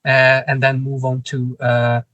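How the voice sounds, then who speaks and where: A man with a Hungarian accent talks through his answers at a middle pitch. He speaks slowly and carefully, restarting phrases as he works out the English. The sound is close and dry, with no room echo. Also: completely flat delivery, bright, forceful tone, muffled recording. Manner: halting, restarts phrases, non-native grammar. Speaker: cinema manager, guest. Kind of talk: podcast interview answers.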